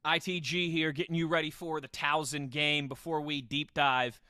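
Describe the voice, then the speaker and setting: A man's voice: fairly high pitch, level tone, moderate pace, heavy background noise. A man hosting a sports podcast in a conversational, enthusiastic way. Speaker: podcast host.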